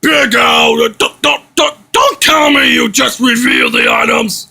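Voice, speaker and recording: Exasperated boss scolding a henchman, male performer: forceful, creaky, stammering rants, homemade skit. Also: very high voice, unhurried, heavily acted. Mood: exasperated, angry. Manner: stammering, repetitive, ranting. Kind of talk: amateur improvised skit.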